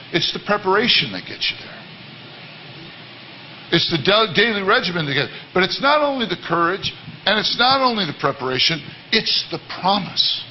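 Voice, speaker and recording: A man with a baritone voice giving an earnest, repetitive sermon-style talk to a church congregation. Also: lo-fi sound, slight background noise. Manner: earnest, repetitive, many restarts. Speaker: worship leader. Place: church service.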